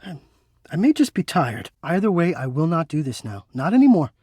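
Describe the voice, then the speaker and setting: A man's voice: a little high, sing-song, brisk, dark tone, husky, dry recording, studio quality. An actor voicing a sentient home ventilation system in a video game, an eloquent, dramatic male romantic with florid, formal delivery. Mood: weary, disgusted. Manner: florid, theatrical, formal wording.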